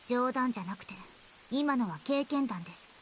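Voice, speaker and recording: Woman acting blunt, quick-talking young anime girl. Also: fairly high pitch, lively intonation, slight background noise, lightly acted. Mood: curt, serious. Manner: curt, casually polite speech. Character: blunt, aloof young girl, helpful to strangers. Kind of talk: Japanese visual novel voice acting.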